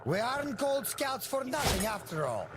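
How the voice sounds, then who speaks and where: A man playing a cocky, teasing young wasteland scout in a video game, with animated delivery. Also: extremely high pitch, moderate pace, noisy background. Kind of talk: video game character acting.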